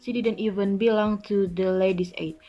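A young woman playing a wistful, sympathetic farm wife in an amateur stage drama, with halting delivery. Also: medium pitch, fairly even intonation, mellow, lightly acted. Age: young adult. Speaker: student actor in a class play. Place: small curtained room at home. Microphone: phone or laptop at arm's length. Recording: muffled narrow-band home recording, music behind.

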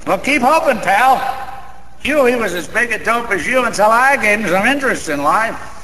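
An actor playing a garrulous small-time American gambler in a radio play, slangy, wisecracking and lonely, with a gravelly voice. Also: male voice, high voice, animated, normal speed, bright, forceful tone. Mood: boastful, animated. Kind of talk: theatrical radio-play monologue. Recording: long room reverb, faint noise.

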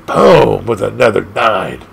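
A man casually commenting on an arcade game as he plays, excitable and laughing often, leaping from squeaky highs to low growls. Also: slow, creaky, slightly rough. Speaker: gaming channel host.

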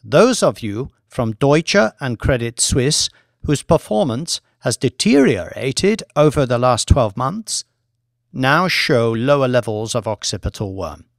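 An earnest scientist played by a man delivers research in a formal, measured lecture for an audiobook. The words are clinical and deliberate, recorded close and dry. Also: medium pitch, big pitch swings, vocal fry, no echo.

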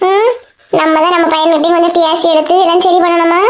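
A woman's voice: very high voice, little pitch movement, fast, slight background noise. A woman voicing a strict, self-assured mother-in-law in a cartoon comedy, advising firmly and boastfully in a Kanyakumari Tamil accent. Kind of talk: comic cartoon skit.

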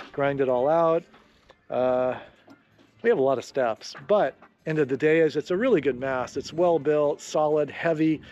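A middle-aged man with a slightly high voice talks easily outdoors in a sunny boat yard. He rambles a little, restarting sentences and dropping fillers, into an action camera held at arm's length. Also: mellow, lo-fi sound. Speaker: vlogger rebuilding a trimaran. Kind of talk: casual walk-and-talk vlog.

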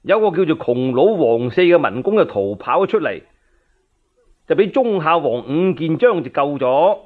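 A man's voice: a little high, big pitch swings, normal speed. A man telling a historical tale in Cantonese as a radio storyteller, colloquial and speaking straight to his listeners. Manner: colloquial, addressing listeners directly. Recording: narrow-band, phone-like radio recording.

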